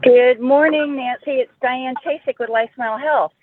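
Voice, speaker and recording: A woman calling in to a live webinar, chatting warmly and laughing often. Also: high voice, fairly even intonation, moderate pace, heavy background noise. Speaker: caller. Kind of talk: casual call-in chat. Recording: dry tinny phone line.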